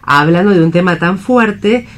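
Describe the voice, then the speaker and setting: A woman hosting a radio drama programme, commenting on a play in an unhurried, conversational way. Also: fairly low pitch, expressive.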